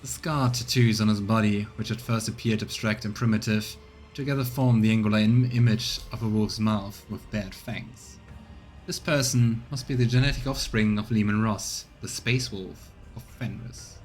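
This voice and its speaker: Lowish-voiced man narrating a game stream. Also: steady tone, moderate pace, darkish tone, soft background music, rough recording. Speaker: streamer, let's player. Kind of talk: reading game text aloud with casual commentary.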